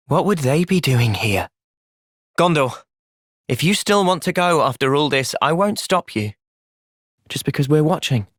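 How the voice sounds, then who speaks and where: An actor voicing an earnest, thoughtful young male soldier and leader in a video game, with an English accent and a measured delivery. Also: fairly high pitch, fairly bright tone, no room sound, clean studio sound. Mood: solemn, resolute. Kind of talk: video game cutscene acting.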